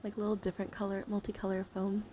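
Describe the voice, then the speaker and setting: A woman's voice: mid-range voice, monotone, dark tone. Rambling woman in noisy ASMR stream. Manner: rambling, halting, thinking aloud while searching. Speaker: livestreamer showing a dice collection. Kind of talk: casual ASMR chat to viewers.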